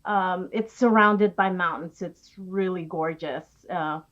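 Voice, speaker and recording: Low-voiced woman on a muffled line. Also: takes it slowly. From Philippines. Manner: warm, rambling, many fillers. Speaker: podcast guest. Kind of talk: casual interview.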